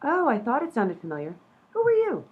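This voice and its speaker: A woman's voice: high-pitched, huge pitch swings, brisk, breathy edge, exaggerated voice. Ditzy stranded lady detective, played by a woman, scatterbrained and swooping, for audio sketch comedy; lo-fi sound. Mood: grumbling, baffled. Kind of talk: comedy podcast sketch acting. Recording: dull lo-fi audio.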